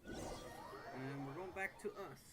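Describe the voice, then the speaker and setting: A woman's voice: low voice, lively intonation, slow, heavy background noise. A woman game streamer chatting casually while playing. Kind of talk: casual livestream chat over gameplay.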